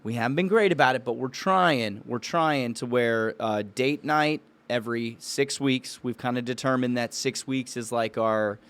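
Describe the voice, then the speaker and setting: A man's voice: medium pitch, normal speed, hardly any pauses, a bit bright, smooth. Casual man telling it like a buddy. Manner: informal, bro-ish storytelling. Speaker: podcast co-host and business owner. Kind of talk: casual conversational podcast talk.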